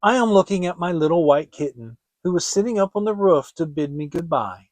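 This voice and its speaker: A man's voice: high voice, lively intonation, a little fast, darkish tone, no room sound. A man voicing a brave, reassuring young boy in a storybook reading, earnest and soothing. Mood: innocent.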